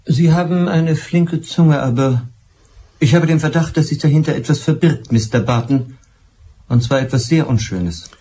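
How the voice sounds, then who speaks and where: An actor playing a suspicious, methodical police inspector in a German radio drama, probing and deliberate, with dry wit and authority. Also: male voice, a little high, mellow, lightly acted.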